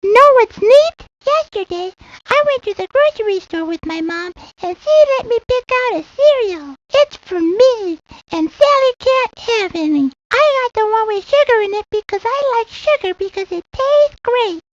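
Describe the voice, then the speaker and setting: Tiny, very high-voiced little kid. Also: female voice, expressive, normal speed. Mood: proud, chatty. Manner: earnest, rambling, childlike boasting. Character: very young child, proud, rambling, easily delighted. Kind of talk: video game character monologue. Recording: lo-fi 1990s game audio, faint hiss.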